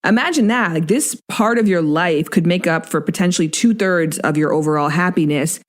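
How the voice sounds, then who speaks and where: Emphatic low-voiced woman. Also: fairly quick. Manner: emphatic, opinionated. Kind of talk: casual, emphatic talk.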